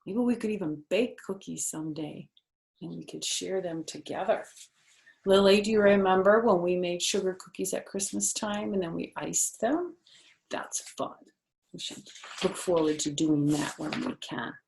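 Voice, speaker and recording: A woman's voice: on the low side, normal speed, creaky, a little mellow. Grandmother reading aloud in an echoey room. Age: middle-aged or older. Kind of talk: expressive children's storybook reading with casual asides. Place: indoor living room on a sofa. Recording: roomy indoor echo.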